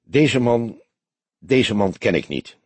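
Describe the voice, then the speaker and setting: A man's voice: mid-range voice, expressive, takes it slowly, slightly rough, no room sound. A man voicing a young detective in an audiobook, an earnest and self-assured junior colleague who reports precisely and emphatically. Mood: precise, factual.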